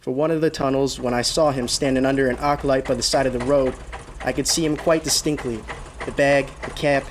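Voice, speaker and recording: A man acting a haunted man in his thirties who recounts his road trip in a radio drama, urgent and halting. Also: medium pitch, steady tone, a little hiss or hum, natural voice, poor audio. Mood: uneasy recounting.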